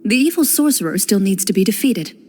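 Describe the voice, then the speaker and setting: A woman voicing a composed, stern young female duelist in a game cutscene, speaking in a calm, measured, quietly commanding way. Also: mid-range voice, a little hiss or hum, close to an everyday voice. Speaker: game character, a duelist hunter. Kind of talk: video game cutscene voice acting.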